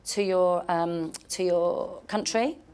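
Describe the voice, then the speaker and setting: A woman's voice: lowish voice, fairly even intonation, slow pace, darkish tone, clean tone. British woman moderating a panel. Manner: friendly, loose phrasing with fillers.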